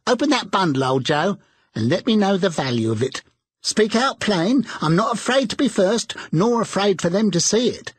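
A man voicing a bold, defiant charwoman with a shrill, scornful manner. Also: big pitch swings, normal speed, husky, dry recording, exaggerated voice. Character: bold defiant charwoman, brash older woman.

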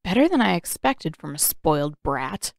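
A woman's voice: deep voice, very animated, normal speed, creaky, no room sound, studio quality, full character voice. A woman voicing a sardonic, brash young female bounty hunter, mocking and flippant, in an audiobook. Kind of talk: audiobook character voice.

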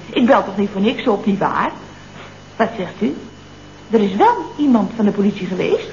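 An actress playing a feisty, gushing single secretary who chatters colloquially in a comedy radio play. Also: female voice, sits high. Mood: indignant, threatening. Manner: gushing, chatty, full of colloquial tag questions. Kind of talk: 1970s Dutch comedy radio play acting. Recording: muffled, noisy vintage radio recording.

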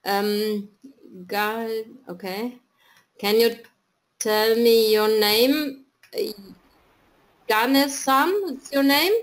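A woman's voice: a little high, steady tone, drawn-out words, pauses often. A woman teaching German in an online video-call class, patiently prompting students to read and translate.

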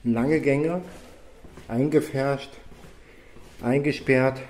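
A young man with a dark, mellow voice talks casually as he guides a video tour. He speaks haltingly, thinking aloud, at a middling pitch. His words ring with long reverb in bare indoor rooms. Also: fairly even intonation, a little hiss or hum. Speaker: vlogger filming alone. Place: empty museum rooms and corridors, indoors. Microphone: handheld phone camera. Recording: echoey with long room reverb.

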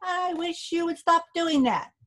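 A woman's voice: extremely high pitch, unhurried, fairly bright tone, pitched above the performer's own voice. A woman voicing a tiny male fairy in a storybook, pleading and politely complaining.

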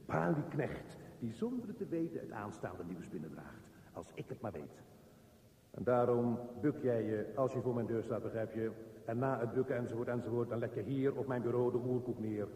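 A man plays a self-important, grumpy office manager in a radio drama. He lectures pompously, his pitch swinging widely, heard from a distance in a reverberant room. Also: animated, moderate pace, dark tone, lightly acted. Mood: pompous, stern. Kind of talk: radio play monologue. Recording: roomy, reverberant, distant from the mic.

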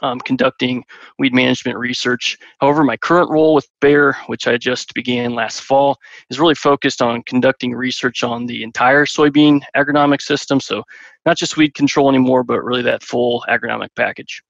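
A man in his thirties from southern Illinois, telling his background in a relaxed way over a headset video call. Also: medium pitch, a little fast, vocal fry, no echo. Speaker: guest expert. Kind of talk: casual interview answers. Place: bedroom, indoors. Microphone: headset mic, video call. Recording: dry, dull headset audio.

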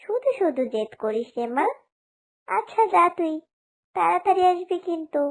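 A woman plays a caring, fretful mother in an animal cartoon. The voice sits very high, and the delivery is fond with a gentle scolding edge. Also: big pitch swings, moderate pace, vocal fry, heavily acted. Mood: mild scolding. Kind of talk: cartoon character acting.

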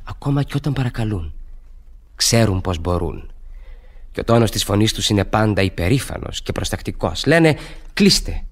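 An actor playing a young, romantic student who flatters a lady in courtly, theatrical style, in a radio play. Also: on the low side, close to an everyday voice. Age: young adult. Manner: theatrical, courtly, emphatic repetitions. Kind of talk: stage-style Greek radio theatre acting.

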